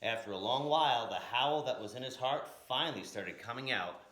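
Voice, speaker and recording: A man's voice: mid-range voice, a little fast, pushed and bright. A man reading a picture book aloud in a dramatic, emphatic way, drawing out key words. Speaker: storyteller, blues educator. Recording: echoey room.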